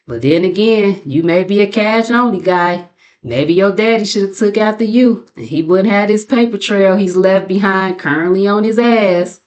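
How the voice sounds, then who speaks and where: A woman's voice: medium pitch, darkish tone. An American woman hosting a commentary channel, giving a casual gossip recap in a sassy, mocking, colloquial way. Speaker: commentary channel host and narrator.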